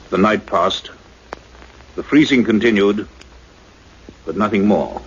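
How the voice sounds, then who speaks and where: An actor playing a sombre male scientist dictating his final notes in a sci-fi radio play, grave and measured. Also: fairly low pitch, slight background noise, close to an everyday voice, low-quality recording. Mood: ominous.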